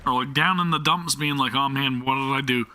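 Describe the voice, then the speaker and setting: A man's voice: mid-range voice, a little fast, sharp tone. A young man chatting through a live game stream, self-deprecating and often restarting his sentences. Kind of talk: live gameplay commentary.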